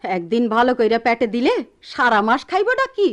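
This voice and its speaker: A woman's voice: on the high side, huge pitch swings, fairly quick. An actress playing a fed-up middle-aged mother and wife worn down by poverty, with a Dhaka-area Bangladeshi accent, in a radio drama. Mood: bitter, despairing. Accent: Bangladeshi, Dhaka-area dialect.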